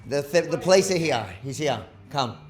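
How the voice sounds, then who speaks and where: Male reporter, somewhat high voice, confrontational and pressing questions. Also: expressive, moderate pace, crowd noise. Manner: pointed, challenging questions. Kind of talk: confrontational street interview.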